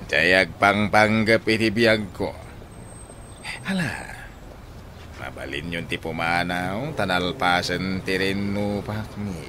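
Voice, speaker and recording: A man acting in an Ilocano radio drama as a cunning, taunting general with a menacing, drawn-out delivery. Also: low-pitched, sharp tone, slight background noise, exaggerated voice. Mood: commanding.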